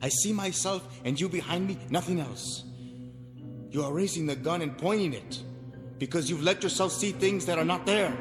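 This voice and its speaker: An actor playing a proud, earnest revolutionary lieutenant in a 1960s television drama, speaking with emphatic, theatrical insistence. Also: male voice, on the high side, normal speed, close to an everyday voice. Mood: urgent pleading. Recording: old TV soundtrack, echoing, loud music behind.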